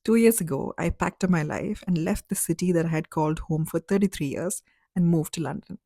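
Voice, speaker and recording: A woman's voice: on the low side, brisk, vocal fry, warm tone, no room sound. Reflective woman in her thirties from Dubai. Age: 30s. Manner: candid, reflective, conversational with fillers like "like" and "you know". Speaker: vlogger. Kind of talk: personal story vlog.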